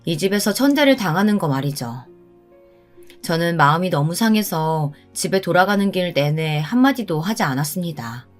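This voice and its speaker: A woman's voice: fairly low pitch, lively intonation, moderate pace. A woman narrating a personal story in the first person, in a scripted radio-story style. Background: background music.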